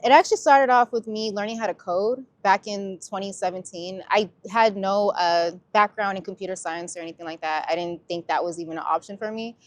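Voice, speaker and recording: A woman's voice: medium pitch, a little fast, hardly any pauses, no echo. American woman, 20s, casual, lots of "like", dry close sound. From San Diego, California. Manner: casual, says "like" a lot. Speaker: interviewee, cybersecurity analyst.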